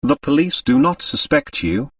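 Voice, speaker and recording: Man acting as possessive woman dancer, seductive, theatrical, audiobook drama, very animated intonation, slightly distant. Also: mid-range voice, normal speed, husky, dry recording, studio quality. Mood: intense, conspiratorial. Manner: dramatic, coaxing, emphatic repetition. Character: seductive, possessive foreign woman dancer, sure of her power.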